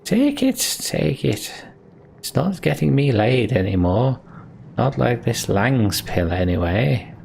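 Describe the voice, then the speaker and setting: A man's voice: medium pitch, very animated, takes it slowly, creaky, darkish tone, slight background noise, heavily acted. A man voicing a boastful, rambling old minstrel from a video game, telling tales in colloquial speech. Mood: teasing. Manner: colloquial, storytelling, swooping emphasis. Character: rambling, boastful old male minstrel, cheerfully world-weary. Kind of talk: reading video game dialogue in character.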